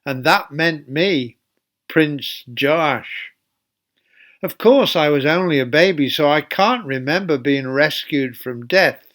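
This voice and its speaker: A man reading a Bible story aloud to children as a church storyteller, speaking deliberately and putting questions to his young listeners. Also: on the high side, fairly bright tone.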